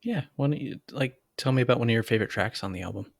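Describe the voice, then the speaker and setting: A man's voice: mid-range voice, rapid-fire, vocal fry, no echo, clean studio sound. A man hosting a casual podcast conversation, attentive and encouraging as he asks his questions. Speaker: podcast host.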